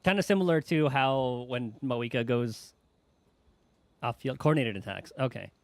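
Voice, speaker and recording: A man's voice: mid-range voice, moderate pace, no echo. A man giving casual gameplay commentary as a streamer. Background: game music.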